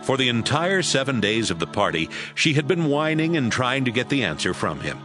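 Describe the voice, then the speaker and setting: A man's voice: mid-range voice, big pitch swings, fairly quick, over music. A man giving dramatic scripted narration for a Bible audio drama, as storyteller.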